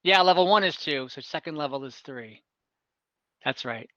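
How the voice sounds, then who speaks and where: A man's voice: fairly high pitch, lively intonation, moderate pace, pushed and bright, no echo, highs cut off. A man acting as game master of an online tabletop game, explaining rules with many hesitations and fillers. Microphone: computer mic on a video call.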